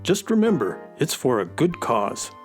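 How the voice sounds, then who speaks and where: An actor playing a wry, bluntly practical space horse sidekick in a children's radio play. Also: male voice, a little high, huge pitch swings, measured pace, soft background music. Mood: wry, prodding.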